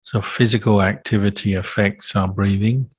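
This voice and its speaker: A man leading a guided meditation as a Buddhist teacher, calm and unhurried, leaving gentle pauses between phrases. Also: lowish voice, breathy edge, no room sound.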